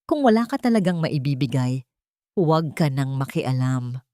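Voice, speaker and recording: Lady doing touchy husband hiding stuff, defensive, short fuse, mellow dry voice. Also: deep voice, big pitch swings, moderate pace, no room sound. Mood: irritated, threatening.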